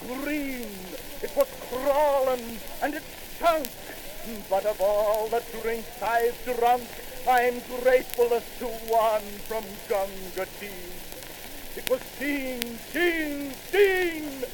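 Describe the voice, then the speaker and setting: High-voiced man declaiming over record crackle. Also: fairly even intonation, slow. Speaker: poetry reciter. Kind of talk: old-fashioned theatrical poetry recitation. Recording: crackly 1919 phonograph recording.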